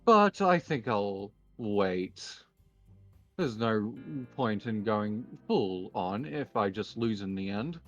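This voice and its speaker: A man speaking in a measured, understated way as he plays a roleplay card duel and casually announces his moves. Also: fairly low pitch, lively intonation. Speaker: card game duelist player. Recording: dull lo-fi mic, faint music behind.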